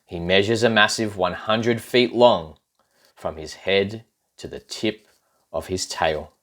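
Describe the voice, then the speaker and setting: A man reading a children's rhyming picture book aloud in an expressive, playful way, with asides to young listeners. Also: fairly low pitch, takes it slowly. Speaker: storybook reader.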